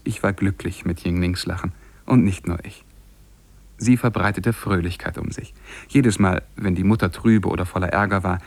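Deep, gravelly-voiced young scholar narrator. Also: male voice. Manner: measured, lyrical storytelling. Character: lovestruck harmless young scholar recounting his own story. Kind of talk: literary narration in a German radio play.

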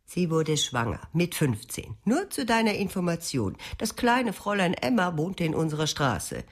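Cold, scornful older mother, lively contemptuous delivery. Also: lowish voice, moderate pace. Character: cold, bitter older mother of a grown son. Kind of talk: German radio drama acting.

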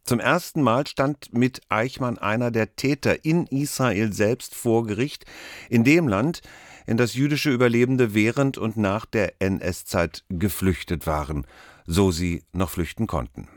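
A man working as a radio presenter, reading a scripted introduction in deliberate, short phrases. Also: lowish voice, dry recording, clean studio sound.

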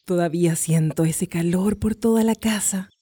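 An actress playing a nostalgic, grieving wife in a radio drama, with a wistful, emotional delivery. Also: female voice, fairly low pitch, normal speed, mellow, slightly rough. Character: grieving, nostalgic wife clinging to her late parents' house. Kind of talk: Spanish-language radio drama acting. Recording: clicky recording with faint noise.

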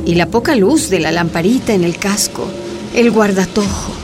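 Una mujer con acento boliviano narra con gravedad una radionovela sobre la lucha minera. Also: female voice, mid-range voice, normal speed, over music, natural voice. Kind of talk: scripted narration of a radio drama.

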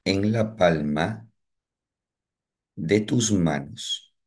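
A man leading a guided morning meditation, giving calm, repetitive breathing instructions with long pauses. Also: deep voice, breathy edge.

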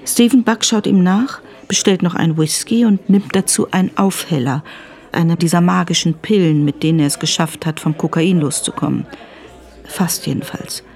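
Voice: mid-range voice, moderate pace, a little mellow. A narrator reading a scripted radio thriller in the present tense.

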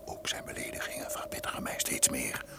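An actor playing a scheming, vengeful count in a radio drama, speaking in a deliberate, insinuating way with a gravelly bass voice. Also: male voice, whispered, natural voice. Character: scheming, resentful count bent on revenge. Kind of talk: Dutch radio drama acting. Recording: noisy old radio play recording.

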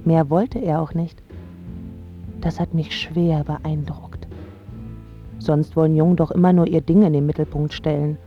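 A woman's voice: low voice, steady tone, unhurried, pauses often. An actress playing a smitten young woman from a pampered home, narrating intimately and lyrically in a radio drama. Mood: tender. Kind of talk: scripted first-person narration in a German radio drama. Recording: slightly dull sound with music underneath. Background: prominent music.

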